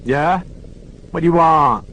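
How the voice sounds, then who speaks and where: A woman's voice: low voice, slow, fairly bright tone, a little hiss or hum, pitched above the performer's own voice, rough recording. A man reading an audiobook, voicing a blunt, hostile local woman answering the phone. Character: rude suspicious local young woman on phone.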